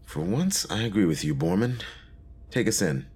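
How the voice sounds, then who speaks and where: A man playing a brisk, commanding time-ship team leader in a science fiction audio drama, terse with orders. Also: deep voice, animated, darkish tone, a little hiss or hum. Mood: firm.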